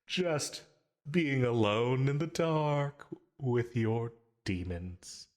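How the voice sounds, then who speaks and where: A man's voice: medium pitch, animated. A man voicing a possessive, gleefully menacing sleep paralysis demon in an ASMR audio roleplay, taunting the listener. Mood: sinister teasing. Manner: slow, drawn-out taunting with dark chuckles. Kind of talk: ASMR yandere roleplay, dramatic monologue.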